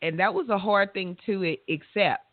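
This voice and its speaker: A woman with a loud voice, hosting a radio talk show in a frank, self-assured way. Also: medium pitch, moderate pace, dry recording. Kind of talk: casual interview chat. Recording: dry, tinny phone-line sound.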